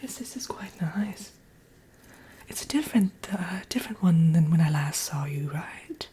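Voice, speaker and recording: A woman voicing a vain, sly wicked witch in a breathy, whispered ASMR roleplay, chatting coyly as if with an old friend. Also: fairly low pitch, expressive, warm tone, slight background noise, natural voice. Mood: appraising, soft. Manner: theatrical, coyly boastful, doting, slow and murmuring.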